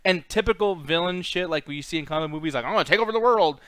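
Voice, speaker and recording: A man's voice: fairly high pitch, fast, a bit bright, clear, resonant tone. A man hosting a casual, opinionated movie chat, ranting bluntly with lots of swearing and mocking quotes. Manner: mimics characters in mocking quotes. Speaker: podcast host.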